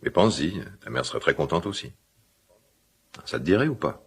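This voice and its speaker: An actor playing a middle-aged father in a radio drama, guilt-ridden and awkwardly tender, speaking hesitantly in a gravelly smoker's voice. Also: very deep voice, sing-song, exaggerated voice. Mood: awkward, conciliatory. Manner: hesitant, halting, confiding. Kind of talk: naturalistic French radio drama dialogue.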